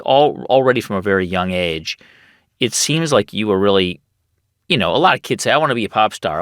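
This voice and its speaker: Male host, lowish voice that moves up and down a lot, curious, dry close mic. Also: no room sound. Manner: curious, lively questioning. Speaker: podcast host. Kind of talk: interview.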